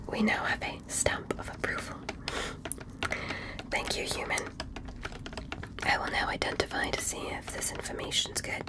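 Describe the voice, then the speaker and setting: Slow, whispering woman as a gentle alien examiner. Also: lightly acted, low-quality recording. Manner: soothing, unhurried, reassuring, politely clinical. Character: gentle female alien medical examiner studying humans. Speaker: ASMR roleplay performer. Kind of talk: ASMR medical roleplay, whispered.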